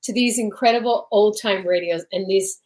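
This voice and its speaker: A woman's voice: medium pitch, moderate pace, a little mellow. A woman co-hosting an old-time radio podcast, chatting casually and introducing the show in a gushing, theatrical way.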